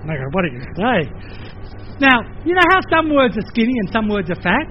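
An older man with an Australian accent, preaching a sermon in a lively conversational way, with playful asides. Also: high voice, brisk, dull audio, slight background noise. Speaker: pastor.

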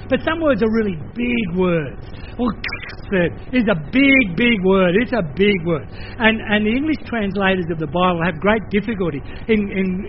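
An older man with an Australian accent, preaching a sermon in a lively conversational way, with playful asides. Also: sits high, highs cut off, a little hiss or hum. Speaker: pastor.

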